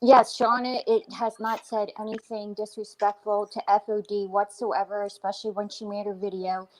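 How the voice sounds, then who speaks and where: A woman's voice: medium pitch, little pitch movement, normal speed, darkish tone, poor audio. A woman on a live stream panel, insistent and pushing back firmly.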